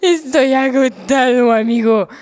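A woman playing a weary, lovesick and deluded would-be knight in an audio drama, with slow dramatic delivery. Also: sits high. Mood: weary, despairing. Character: Don Quixote, weary lovesick deluded Spanish-speaking man.

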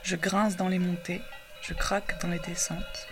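A woman reciting a poetic text in a calm, measured way, sometimes dropping to a hush. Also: fairly low pitch, over music. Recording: noisy background.

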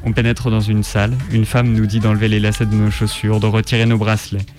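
A man's voice: lowish voice, monotone, fast talker, darkish tone. A man reading a first-person story as dramatic scripted narration. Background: music.

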